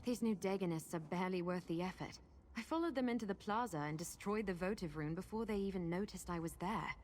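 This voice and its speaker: An actress plays a cool female spy in a fantasy video game, with a slightly low voice. She is dry, self-assured and conspiratorial. A faint ambience sits behind her. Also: fairly quick, darkish tone, a little hiss or hum, lightly acted. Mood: dry, confident. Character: cool, capable female secret agent, dryly amused.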